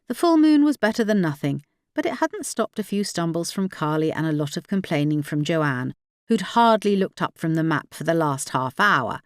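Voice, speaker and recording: A woman's voice: on the low side, big pitch swings, normal speed, no echo, clean studio sound. A woman audiobook narrator reading dramatic fiction from a script.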